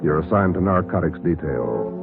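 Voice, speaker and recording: A man's voice: sits low, lively intonation, moderate pace, vocal fry. A man announcing in an emphatic, dramatic 1950s radio style with short punched phrases. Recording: tinny vintage radio recording, loud music.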